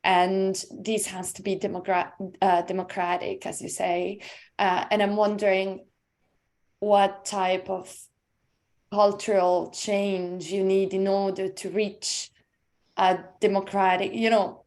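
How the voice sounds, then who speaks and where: A woman's voice: medium pitch, level tone, slow, darkish tone. A woman from Italy asking a question on an academic panel, searching for her words with many fillers. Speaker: panel participant.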